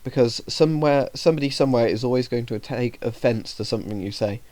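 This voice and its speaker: A man's voice: medium pitch, quick pace, mellow, no room sound. A young man with a cold, breathing heavily, chatting to his viewers in a rambling, filler-heavy way and growing indignant over the rules. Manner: indignant when reading rules aloud. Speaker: YouTuber talking to viewers. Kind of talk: casual vlog commentary.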